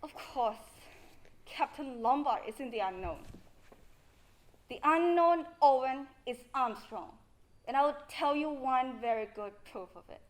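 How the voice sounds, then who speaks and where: A woman's voice: high voice, animated, a little slow, rough recording. An actress playing an intense, insistent young woman in a stage play, with an earnest, emphatic delivery. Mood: scolding. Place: stage performance.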